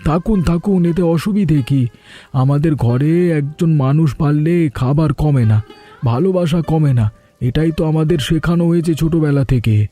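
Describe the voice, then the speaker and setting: A man's voice: a little high, moderate pace, mellow, faint music behind, close to an everyday voice. A man playing a hospitable, struggling middle-aged village shopkeeper in a cartoon. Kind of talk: cartoon dialogue dub.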